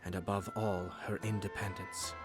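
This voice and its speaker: An actor playing a composed, shrewd young nobleman in a video game, speaking in a smooth, measured, formal way. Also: sits low, flat delivery, lightly acted. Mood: grave, composed. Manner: measured, formal, calculating delivery. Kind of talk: scripted video game voice acting. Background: game music.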